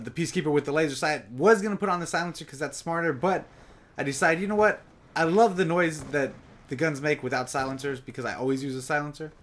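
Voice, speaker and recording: A young man giving casual live commentary over his own gameplay, talking straight to his viewers, his voice a bit raspy from long sessions. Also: a little high. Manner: chatty, casual, addresses viewers directly.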